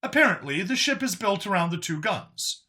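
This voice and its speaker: A man narrates scripted science fiction with a bright, forward voice that sits a little high. His pitch swings widely from phrase to phrase, while the delivery stays wry and expository, like an official briefing. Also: lively intonation, normal speed. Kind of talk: scripted dramatic story narration as an alien official giving a briefing.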